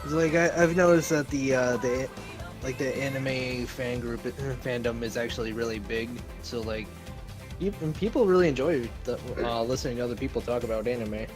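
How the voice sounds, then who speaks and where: Laid-back young man, deep through mic. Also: a little fast. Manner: laid-back, hesitant, many fillers. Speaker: stream co-host. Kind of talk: casual gaming stream chat. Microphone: desktop microphone. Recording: background music.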